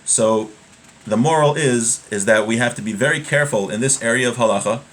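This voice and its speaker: A man's voice: mid-range voice, fairly quick, fairly bright tone. A man in his thirties teaching from a webcam in a small home room, reading Hebrew passages aloud and explaining each one in casual English. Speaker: Torah teacher. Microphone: webcam at desk.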